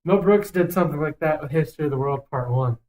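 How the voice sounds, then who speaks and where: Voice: medium pitch, fast, darkish tone. A young adult podcast co-host giving opinions in casual banter, swearing freely and rambling emphatically.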